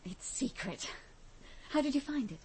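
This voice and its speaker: A woman's voice: high-pitched, animated, measured pace, a little mellow, slight background noise. An actress playing a wide-eyed, anxious young woman in a radio drama. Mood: wondering, curious.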